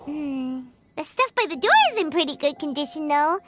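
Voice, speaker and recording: A woman voicing a tiny, excitable female companion creature in a video game, who talks about herself in the third person. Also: sits high, moderate pace. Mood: puzzled. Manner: speaks of herself in third person, dramatic and jumpy. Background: game music.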